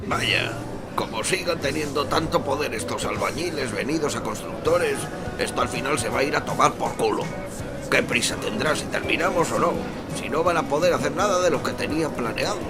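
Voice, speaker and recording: A man's voice: mid-range voice, a little fast, close to an everyday voice. A man playing a learned, ironic, paternal archaeology professor in a radio soap opera, theatrical and pedantic. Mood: wry indignation. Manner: theatrical, pedantic, sprinkles Latin. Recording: music behind the voice.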